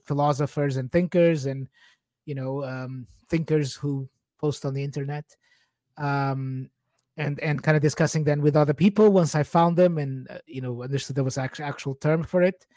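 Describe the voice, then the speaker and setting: Higher-pitched Portuguese man chatting casually. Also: darkish tone, no room sound. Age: 40s. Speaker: podcast guest. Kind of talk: casual personal chat.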